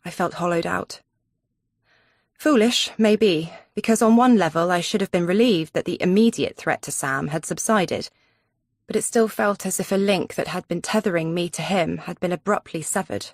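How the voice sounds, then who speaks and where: A woman's voice: fairly low pitch, moderate pace, no echo, clean studio sound. A woman narrating a suspense audiobook in the first person.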